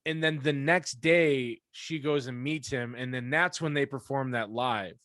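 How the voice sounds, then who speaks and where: A man's voice: mid-range voice, unhurried, a bit bright, dry recording, clean studio sound. A man co-hosting a podcast in casual chat, blunt and profane, laughing often as he gripes. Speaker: podcast co-host; performer, musician.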